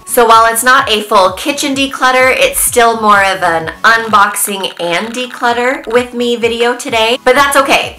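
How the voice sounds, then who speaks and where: Woman, 30s, stuffy-nosed vlog narrator, low but bright tone, casual asides, faint music bed. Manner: chatty and candid, friendly asides to viewers. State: congested. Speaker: home vlogger. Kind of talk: casual declutter vlog voice-over.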